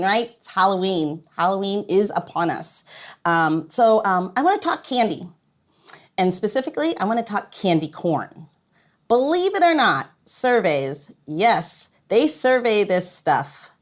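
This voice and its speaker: Playful lady podcast host, chatty, pitch bounces all over the place. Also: measured pace. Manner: playful, expressive. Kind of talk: casual chatty podcast hosting.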